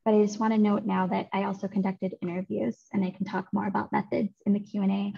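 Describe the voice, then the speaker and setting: A woman in her thirties giving a seminar talk on her research over a video call, in a clear, measured academic delivery. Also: medium pitch, flat delivery. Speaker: seminar speaker, author presenting research. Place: home room. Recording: muffled video call audio.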